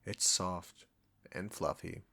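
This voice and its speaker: A man acts out a condescending male chef with a low voice. He is cocky and teasing, trading barbs with an old rival. The delivery is intimate audio roleplay, aimed straight at the listener. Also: slow, gravelly, sharp tone, full character voice. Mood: reluctant admiration. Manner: smug, bantering, teasing.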